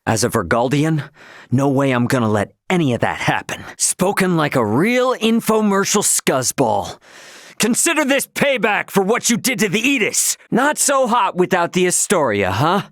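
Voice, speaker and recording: An actor playing a brash, hot-headed but loyal young starship captain in a video game, with a blunt, cocky, slangy delivery. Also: male voice, high voice, very animated, moderate pace, a bit bright, dry recording, studio quality, exaggerated voice. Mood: defiant, taunting. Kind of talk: voiced video game character lines.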